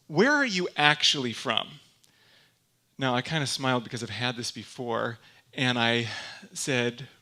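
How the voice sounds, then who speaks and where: A man's voice: mid-range voice, sharp tone. A young man from Michigan with a Michigan accent, preaching a conversational sermon full of personal stories and asides to the congregation. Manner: chatty, self-deprecating, storytelling with asides to the congregation.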